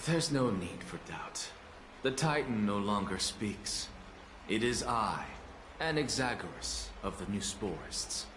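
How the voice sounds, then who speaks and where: A man's voice: on the low side, lively intonation, slow pace, echoey room, noisy background. A man voicing a haughty scholar professor in a video game, dry, self-assured and dismissive. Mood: aloof. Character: haughty scholar professor Anaxa, aloof and sardonic.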